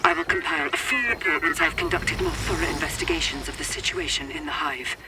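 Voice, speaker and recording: A woman's voice: low-pitched, fast talker. A woman playing a solemn, zealous undercover agent, sending insistent scripted reports over a science-fiction radio link. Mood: solemn, guarded. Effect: radio transmission. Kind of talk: scripted sci-fi radio transmission. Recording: very noisy, crackling radio transmission.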